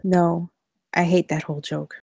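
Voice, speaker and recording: Female flight attendant in a crisis, read by an actress: lowish mellow voice, close dry sound, steadying and earnest. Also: flat delivery, normal speed, creaky, no echo. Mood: quietly probing. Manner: steadying, earnest, quietly persistent. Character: shaken but caring young female flight attendant. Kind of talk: acted table read of a film script.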